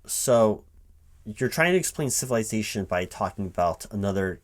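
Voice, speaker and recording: A man playing a recruiting interviewer in a one-sided spoken ASMR roleplay, halting and repeating his words in a baffled, exasperated way. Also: fairly low pitch, lively intonation, slight background noise. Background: computer keyboard typing.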